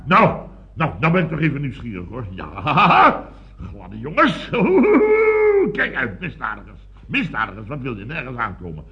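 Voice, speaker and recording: On a dull old radio recording, a blustering, self-important male boss in a comedy serial. His medium-pitched voice leaps around as he sputters, exclaims and pompously repeats himself. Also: wildly swooping pitch, a little slow, sharp tone, soft background music, full character voice. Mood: agitated.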